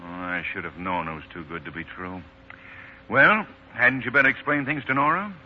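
An actor playing a blunt, loyal foreign-news journalist, probing and conversational, in 1940s radio drama. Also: male voice, fairly low pitch, moderate pace, a little hiss or hum, natural voice. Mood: let down. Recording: dull vintage 1940s radio broadcast.

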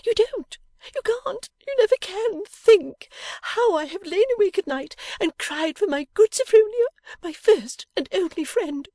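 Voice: extremely high pitch, animated, unhurried, few pauses, a little mellow, no room sound, voice pushed up, heavily acted. A reader voicing a silly, tearful young lady who gushes breathlessly while sobbing. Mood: tearful gushing.

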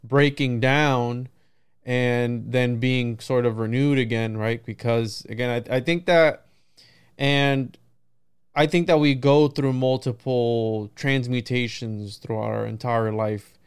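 A man's voice: mid-range voice, fairly even intonation, slow, smooth. A man in a casual podcast conversation, musing in a rambling way and often checking in with "right" and "you know". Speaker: podcast host.

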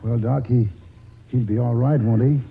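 A man's voice: medium pitch, fairly even intonation, moderate pace, vocal fry, husky. An actor playing a devoted old grandfather, folksy and tender, in a 1940s radio drama. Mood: anguished. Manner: folksy, homespun. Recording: muffled vintage 1940s radio broadcast.

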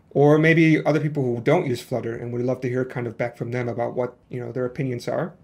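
A man's voice: medium pitch, a little mellow. A man in his thirties with a Chicago accent, hosting a casual solo podcast and rambling along with many fillers and restarts. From Chicago, USA. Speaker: podcast host.

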